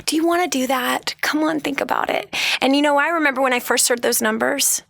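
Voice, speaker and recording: A woman's voice: high voice, fairly even intonation, brisk, vocal fry, fairly bright tone, no room sound, studio quality. A woman hosting a radio talk show, speaking earnestly to listeners with rhetorical questions and frequent restarts. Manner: frequent restarts and repeated words. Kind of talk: motivational talk show monologue.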